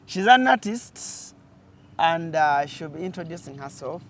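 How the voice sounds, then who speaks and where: A man's voice: on the high side, expressive, a little slow, fairly bright tone. A Ugandan man hosting a casual outdoor interview, chatty and full of fillers. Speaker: host. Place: outdoors in nature.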